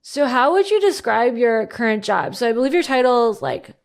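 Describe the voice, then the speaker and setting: A woman hosting a podcast interview, upbeat and playful, reacting with quick exclamations. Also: on the high side, very animated, vocal fry. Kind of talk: casual interview chat.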